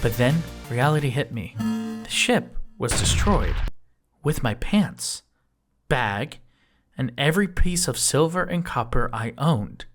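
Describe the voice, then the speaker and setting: A flippant slime god, as a performer acts it out, narrates an audio drama about drifting through space. The delivery is conversational and boastful with a deadpan edge, and the mid-pitched voice moves up and down a great deal. Music plays clearly underneath. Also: takes it slowly. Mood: wry, matter-of-fact.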